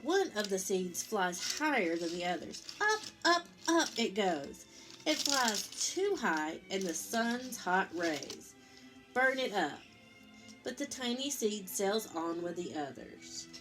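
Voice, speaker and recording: A woman reading a picture book aloud to young children in an expressive, explanatory teacher's manner. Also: mid-range voice, music in the background, rough recording. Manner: expressive, pausing to explain and pose simple questions. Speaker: storytime reader, teacher.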